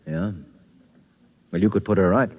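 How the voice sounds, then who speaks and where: A plainspoken everyman, anxious and wry, firing questions at a stranger, acted by a man. His voice sits low and sounds like an old radio play. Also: normal speed, narrow, tinny audio, natural voice. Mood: worried. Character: worried ordinary married man, skeptical questioner. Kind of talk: 1950s radio drama acting.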